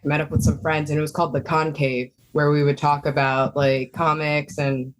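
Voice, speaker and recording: A woman's voice: low-pitched, level tone, moderate pace, poor audio. A woman chatting casually as a guest on a podcast. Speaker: podcast guest, jiu-jitsu athlete. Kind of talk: casual chat.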